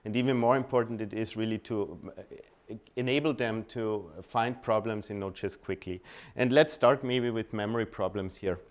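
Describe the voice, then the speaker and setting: A man with an Austrian accent and German as his native language, giving an informal technical talk, often halting and rephrasing mid-sentence. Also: fairly low pitch. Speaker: conference speaker. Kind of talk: technical presentation at a meetup.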